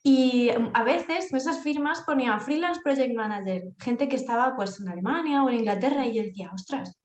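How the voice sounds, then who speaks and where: A woman's voice: a little high, fairly quick, roomy sound, a little hiss or hum. A woman with an accent from Spain, giving conversational answers as an interview guest. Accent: Spanish from Spain. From Castilla-La Mancha, Spain. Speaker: interview guest, freelance project manager. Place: indoors at home, echoey room.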